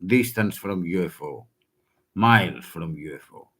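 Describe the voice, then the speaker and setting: A man whose first language is Greek, speaking broken English in a halting, urgent warning with many restarts. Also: low voice, lively intonation, fairly bright tone. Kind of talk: halting self-recorded warning.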